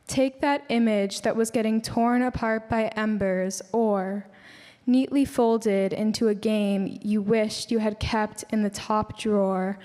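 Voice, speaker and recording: Slow-speaking young woman reading poetry, echoing hall. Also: mid-range voice, a little mellow. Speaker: student poet. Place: large hall at a school event. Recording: reverberant hall.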